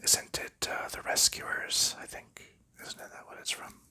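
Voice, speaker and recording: A man whispering softly through an ASMR board game session, chatting in a rambling, easygoing way while sorting cards. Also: a little slow. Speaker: ASMR host.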